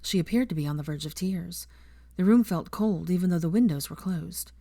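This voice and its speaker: Woman reading a story audiobook style, lowish voice, really dry recording, expressive. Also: fairly quick, no room sound. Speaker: fan fiction narrator. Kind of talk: expressive scripted audiobook narration.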